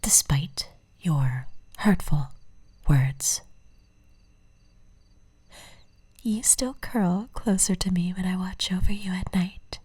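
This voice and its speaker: A woman playing an obsessive, lovesick stalker in an ASMR roleplay, whispering breathily in slow, deliberate, coaxing phrases. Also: lowish voice, darkish tone, husky, no room sound. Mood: obsessive, yearning.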